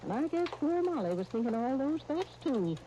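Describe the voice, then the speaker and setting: A woman's voice: high-pitched, big pitch swings, a little fast, warm tone, highs cut off. A woman playing a kindly small-town aunt with an American accent in folksy 1930s radio style. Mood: sympathetic. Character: kindly, homespun small-town aunt who tells stories and shares recipes. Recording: hissy 1939 radio broadcast disc.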